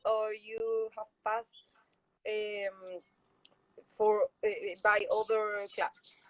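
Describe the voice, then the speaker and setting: A woman's voice: fairly high pitch, dry recording, low-quality recording. Hesitant Spanish-accented woman interviewer. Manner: hesitant, many fillers, frequent short laughs. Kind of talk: casual video call interview. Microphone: video call.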